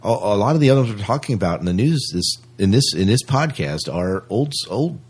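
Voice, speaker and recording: Very low-pitched male podcast host, slightly husky. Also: expressive, a little fast, darkish tone. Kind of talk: casual podcast chat about news.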